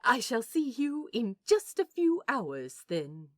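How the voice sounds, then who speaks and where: A woman voicing a gentle, eager, bookish man with a proper, effusive manner in a fan audio drama. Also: a little high, very animated, slow pace, no room sound, full character voice. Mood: eager, fluttery.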